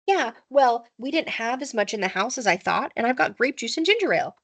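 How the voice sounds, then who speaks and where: A woman voicing an eager, scheming schoolboy in a storybook, excitable, earnest and emphatic. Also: on the high side, brisk, voice pushed up. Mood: defensive.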